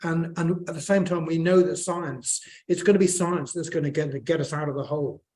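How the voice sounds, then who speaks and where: Croaky-voiced man, hesitant speech. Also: a little high, steady tone, a little fast, a little mellow. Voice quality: hesitant, many fillers and restarts. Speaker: panel speaker, plant biotechnologist. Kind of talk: unscripted panel remarks.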